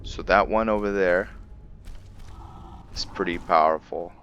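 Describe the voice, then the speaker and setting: Deep-voiced man narrating gameplay, unhurried. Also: steady tone, fairly bright tone, muffled recording, a little hiss or hum. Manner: halting, thinking aloud. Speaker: gamer hosting a roleplay let's play. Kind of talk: casual gameplay commentary.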